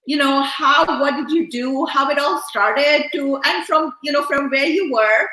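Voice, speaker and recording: Woman, co-host, high pitch, bright tone, echoey room, eager. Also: a little slow. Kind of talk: hosting an interview.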